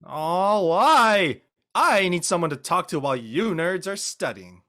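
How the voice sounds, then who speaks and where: A goofy, laid-back teenage boy athlete voiced by an actor in an audio drama. The pitch swings widely as he jokes in loose slang. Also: expressive, unhurried, fairly bright tone. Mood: goofy, excited. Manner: slangy, joking. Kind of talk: online dramatic table read.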